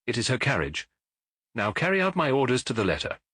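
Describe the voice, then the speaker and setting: A man voicing a brilliant, self-assured Victorian gentleman detective in an audiobook, with an English accent and a precise, authoritative way of giving instructions. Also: mid-range voice, sing-song, a little fast, slightly rough, dry recording. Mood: confident, reasoning. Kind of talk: audiobook character acting.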